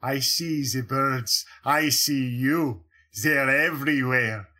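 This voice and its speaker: A man voicing a paranoid, malicious painter in an audiobook, speaking slowly and broodingly. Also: mid-range voice, pushed and bright. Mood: menacing. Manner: slow, brooding, menacing. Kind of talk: audiobook character reading.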